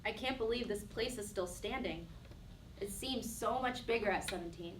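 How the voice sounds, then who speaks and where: A woman's voice: medium pitch, moderate pace, lightly acted. Actress as a defensive young woman in echoey room. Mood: guarded, softer. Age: young adult. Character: defiant, wounded 23-year-old woman, defensive and yearning. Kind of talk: one-act play dialogue. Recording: roomy echo, distant mic, faint noise.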